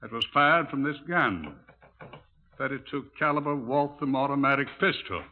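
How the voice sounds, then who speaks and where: Actor as a formal British chief inspector, muffled sound. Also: male voice, medium pitch, moderate pace, a little hiss or hum, natural voice. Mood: formal, instructive. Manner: formal, explanatory, precise. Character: formal, precise police chief inspector, museum curator. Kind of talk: 1950s radio drama exposition. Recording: muffled narrowband vintage radio recording.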